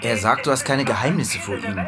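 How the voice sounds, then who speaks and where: A man's voice: mid-range voice, brisk, over a noisy crowd, natural voice. A male actor playing an awkward, hesitant young series writer in a naturalistic radio drama. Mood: uneasy, puzzled.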